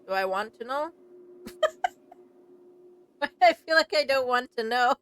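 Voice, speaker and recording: A woman's voice: high-pitched, lively intonation, unhurried, fairly bright tone, clear, resonant tone, no echo. A young woman doing casual let's play commentary, reacting informally and reading on-screen text aloud. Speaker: streamer playing and reacting to a game.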